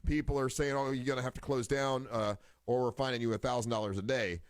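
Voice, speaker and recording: A middle-aged man hosting a morning radio show, speaking earnestly with halting starts and fillers. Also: mid-range voice, fairly even intonation, no room sound, studio quality. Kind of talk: casual radio talk. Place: radio studio.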